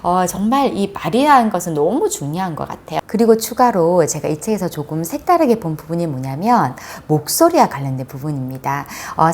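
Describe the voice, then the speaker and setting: A woman's voice: on the low side, darkish tone. A woman hosting a book recommendation for mothers, explaining things politely and conversationally like a teacher. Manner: explanatory, polite, acts out example phrases. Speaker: book review host, teacher.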